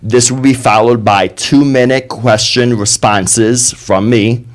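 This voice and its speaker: A man's voice: mid-range voice, steady tone, takes it slowly. A man moderating a neighborhood candidate forum, introducing himself and explaining the format in a plain, organized way. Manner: plain, organized, with occasional fillers. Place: community media center room.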